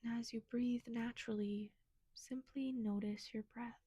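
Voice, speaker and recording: Female meditation guide: gentle and soothing, mellow soft tone, close dry sound. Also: a little high, fairly even intonation, a little slow, husky, dry recording.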